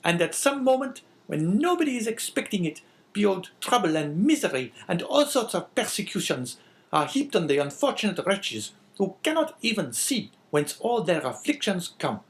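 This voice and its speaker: A man reading an audiobook voices a sickly, suspicious wife in a shrill, scolding tone. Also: very animated, moderate pace.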